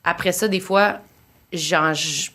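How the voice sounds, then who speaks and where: A woman's voice: lowish voice, fairly even intonation, slow, bright, forceful tone. A woman with a Québécois accent chats on a podcast. She talks loosely and casually, sprinkling in slang and fillers. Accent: Québécois French. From Quebec. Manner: casual, slangy, uses "genre" and "tu sais". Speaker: podcast host.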